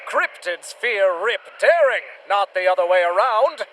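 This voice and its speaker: Pompous, swooping monster hunter. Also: male voice, high-pitched, animated, a little slow, a bit bright, a little hiss or hum. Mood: heroic bluster. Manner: bombastic, grandiose, theatrical. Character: pompous swaggering male monster hunter, secretly cowardly. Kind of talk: radio adventure serial acting.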